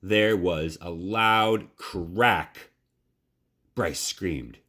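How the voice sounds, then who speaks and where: A man reading a children's story aloud in a measured, dramatic, expressive narration. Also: sits low.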